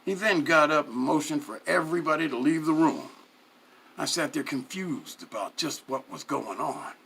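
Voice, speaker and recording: A man giving a dramatic first-person narration, reading in a theatrical, deliberate way with pauses. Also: on the high side, expressive, creaky, fairly bright tone, a little hiss or hum. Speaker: story reader.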